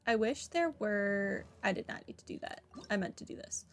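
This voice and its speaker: A woman's voice: medium pitch, expressive, normal speed, a little hiss or hum. A woman giving casual playthrough commentary as a gaming host, rambling and dryly sardonic. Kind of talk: casual playthrough commentary, reading dialogue aloud.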